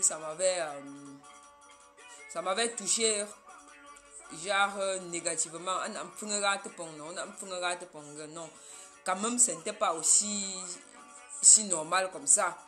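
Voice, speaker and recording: Bright-voiced woman streaming live. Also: mid-range voice. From Cameroon. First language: Yemba. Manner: chatty, speaks straight to viewers. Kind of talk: casual live stream talk.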